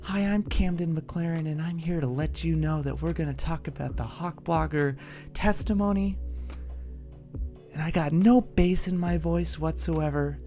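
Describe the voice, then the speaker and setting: A man parodying a chirpy, upbeat male podcast host who talks in a soft, sugary, aggressively pleasant way. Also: fairly high pitch, normal speed, faint music behind, lightly acted. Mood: saccharine.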